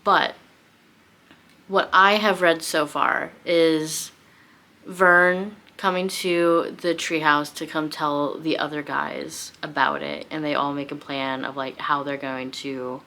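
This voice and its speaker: Low-voiced woman chatting casually about books. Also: pushed and bright. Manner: self-deprecating, rambling, thinking aloud, giggly. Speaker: book vlogger. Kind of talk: casual chatty book review, unscripted.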